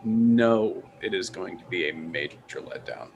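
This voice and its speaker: A man's voice: low-pitched, a little slow, vocal fry, muffled recording, a little hiss or hum. A man co-hosting a casual gaming podcast, rambling with hesitant restarts. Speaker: podcast co-host. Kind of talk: casual chat.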